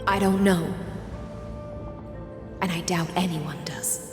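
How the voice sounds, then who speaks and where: A woman voicing a solemn, resolute siren warrior-singer in a dramatic video game, speaking in measured, poetic phrases. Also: lowish voice, steady tone. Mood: weary, sorrowful. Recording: echoey hall reverb, music behind.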